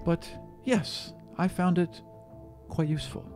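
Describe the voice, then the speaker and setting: A man voicing a modest, earnest young female demigod student in a tabletop game, halting and matter-of-fact. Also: mid-range voice, a little mellow, soft background music, voice pushed up, lightly acted.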